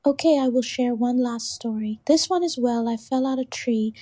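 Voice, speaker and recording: A woman's voice: fairly high pitch, moderate pace, dark tone. Synthetic female voice reading a message. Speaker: text-to-speech donation message voice.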